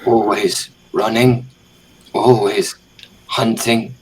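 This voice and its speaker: A man playing a dead adventurer in tabletop roleplay, solemn and tender, speaking in halting fragments. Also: fairly low pitch, fairly even intonation, slightly rough, full character voice, low-quality recording. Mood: tender, mournful. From Britain. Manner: halting, short clipped fragments. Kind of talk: improvised tabletop roleplay drama.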